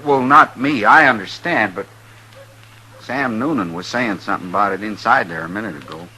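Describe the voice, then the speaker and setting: A man's voice: on the low side, moderate pace, bright, forceful tone. An American actor playing a folksy, meddling, over-friendly frontier townsman in a 1950s radio Western, hesitant and ingratiating. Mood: uneasy, ingratiating. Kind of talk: 1950s radio drama acting. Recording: noisy vintage 1950s radio recording.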